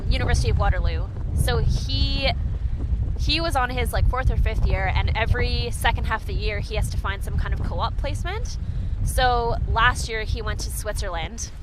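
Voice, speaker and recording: A woman's voice: on the high side, moderate pace. Woman research assistant, chatty and upbeat, buried in wind noise. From Winnipeg, Canada. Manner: chatty, upbeat, frequent laughs. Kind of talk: casual chat. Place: outdoors on a small boat. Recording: heavy wind and boat noise.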